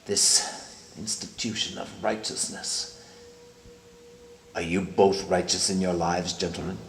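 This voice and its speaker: An older man playing a grave, guarded, pious cardinal in a period detective drama, speaking in a formal, measured way. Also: sits low, fairly even intonation, vocal fry, a bit bright, heavily acted. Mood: guarded, solemn. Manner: formal, measured, hesitant, sanctimonious. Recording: echoey room with faint noise.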